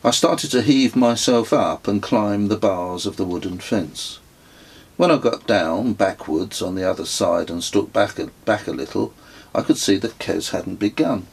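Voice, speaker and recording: Husky, slow, low-voiced male narrator. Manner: descriptive, measured storytelling. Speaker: author reading own memoir. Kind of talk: scripted audiobook narration.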